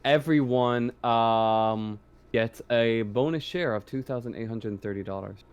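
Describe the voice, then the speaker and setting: A man's voice: medium pitch, measured pace, clear, resonant tone, highs cut off. A man running a tabletop roleplaying game, chatting casually with his players in a rambling, hesitant, sweary way.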